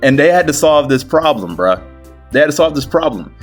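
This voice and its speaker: A man's voice: a little high, lively intonation, brisk. A man giving casual advice as a video creator, talking in a slangy, self-deprecating way full of "bro" and "man". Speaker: video creator giving game development tips. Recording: music behind the voice. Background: background music.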